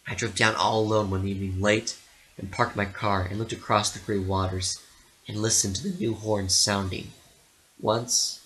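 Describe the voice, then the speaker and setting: A man narrating a literary story dramatically, with theatrical, deliberate phrasing. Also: on the low side, sharp tone, poor audio.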